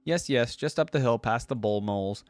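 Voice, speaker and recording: A man's voice: medium pitch, moderate pace, no room sound, natural voice. A man reading aloud as a small, polite, grateful male storybook creature, courteous and earnest.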